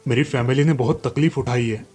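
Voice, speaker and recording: A man voicing an apologetic village father, explaining himself earnestly in an animated fairy tale. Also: medium pitch, a little fast, faint music behind, pitched below the performer's own voice, natural voice.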